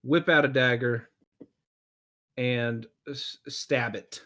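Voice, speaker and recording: A man with a mid-pitched voice speaks very slowly as a tabletop game player. He sounds hesitant and casual, drawing out his thoughts while deciding on each move. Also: fairly even intonation, a bit bright. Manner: hesitant, drawn-out deliberation.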